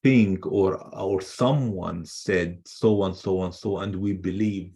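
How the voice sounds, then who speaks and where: Very low-voiced man, halting and emphatic. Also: fairly even intonation, warm tone. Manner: halting, repeats words, emphatic. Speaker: guest presenter, scholar. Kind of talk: unscripted answer to a question.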